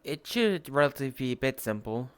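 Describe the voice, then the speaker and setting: Clear, smooth-voiced young man, close and dry. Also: medium pitch, big pitch swings, fast, fairly bright tone, no echo. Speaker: roleplayer. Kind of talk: casual improvised roleplay chat. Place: online virtual-reality chat.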